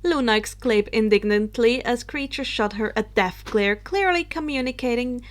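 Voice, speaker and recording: Lady reading fanfic out loud, pretty high voice, expressive storybook narrator. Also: normal speed. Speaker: fan fiction narrator.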